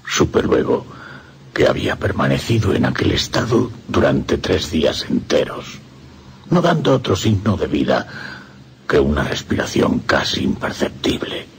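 An actor playing an anguished, lovestruck priest in a radio play, speaking slowly and breathily with impassioned drama and a Castilian Spanish accent. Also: male voice, a bass voice, creaky, slight background noise, full character voice. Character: anguished lovestruck priest recounting his haunted romance.

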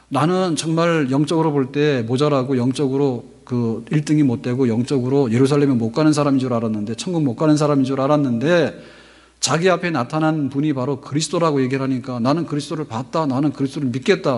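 Pastor, 50s, male, slightly high husky voice, chatty sermon delivery at a pulpit mic, long church-hall reverb. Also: darkish tone. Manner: conversational preaching, rhetorical questions, gestures with hands. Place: large church sanctuary, at a pulpit. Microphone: pulpit microphone on stand.

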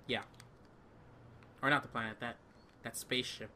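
Bright-voiced man chatting while gaming. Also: mid-range voice, steady tone, normal speed, slight background noise. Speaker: video game player. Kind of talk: casual co-op gameplay chat.

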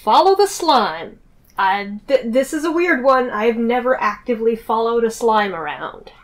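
A woman's voice: fairly high pitch, expressive, unhurried. A woman chatting casually as a tabletop game player, with a dry, wry manner. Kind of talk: casual chat. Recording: clearly noisy, with clicks.

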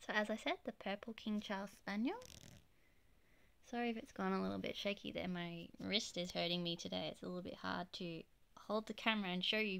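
Chatty woman with a gravelly voice. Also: fairly low pitch. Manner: gushing, chatty, soft giggles. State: slightly croaky from illness. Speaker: plush collector YouTuber. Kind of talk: casual show-and-tell narration. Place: bedroom with plush toys on display. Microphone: handheld phone camera, off-camera voice.

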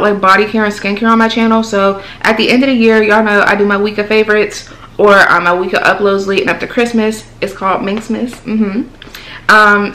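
A woman in her twenties, a beauty vlogger chatting casually about products, her voice altered while she recovers from throat surgery. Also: on the high side. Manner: chatty and animated, calls viewers y'all and girl. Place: small room indoors with product shelves.

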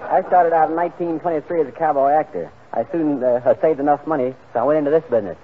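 A man's voice: a little high, fast, a little hiss or hum. A man being interviewed as a guest on a 1940s radio show, giving stiff scripted answers on a tinny old recording. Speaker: interview guest, livestock supplier for films.

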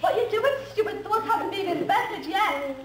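Child actors in a booming school-hall panto. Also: female voice, expressive, normal speed. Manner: loud, sing-song delivery of learned lines. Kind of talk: amateur pantomime acting. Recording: echoey hall, camcorder, loud hiss.

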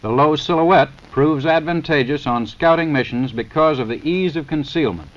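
An American man reading a formal 1930s scripted narration for a training film in a measured, matter-of-fact, instructional manner. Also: a little high. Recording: dull, lo-fi 1930s film soundtrack.

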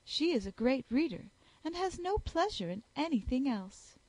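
A woman reading aloud, voicing a snobbish, flattering young society lady in a gushing manner. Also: high voice, normal speed, no echo, voice pushed up, poor audio. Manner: gushing, fawning. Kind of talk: audiobook character voice.

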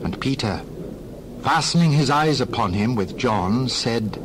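A man with a mid-range voice narrates scripture for a dramatized reading. His delivery is formal, measured storytelling. Clear noise runs behind his voice. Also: medium pitch, animated.

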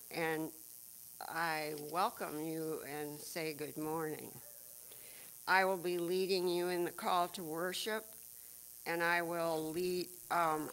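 A woman's voice: on the low side, a bit bright, rough recording. An older woman leading a call to worship, addressing the congregation from the pulpit of a small church. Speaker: lay worship leader.

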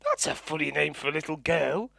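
Woman playing a cheeky, creaky puppet wolf. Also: deep voice, animated, a little fast, a bit bright, no echo, clean studio sound, exaggerated voice. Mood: teasing. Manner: teasing, colloquial. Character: cheeky, teasing male wolf. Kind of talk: comic puppet show banter.

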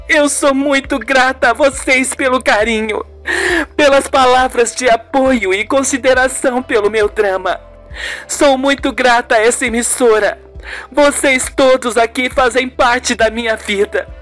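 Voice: high voice, fairly even intonation, measured pace, fairly bright tone, faint music behind, voice pushed up. A performer voicing a tense, emotional, effusive woman TV presenter in a radio soap. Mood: tearful gratitude. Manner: pleading, effusive.

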